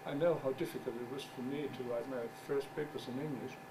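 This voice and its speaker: A middle-aged man whose first language is German gives a conference talk as a journal editor. He speaks English haltingly, searches for words, and makes wry asides. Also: mid-range voice, fairly even intonation. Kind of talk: conference presentation. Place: conference room. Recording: distant mic with background noise.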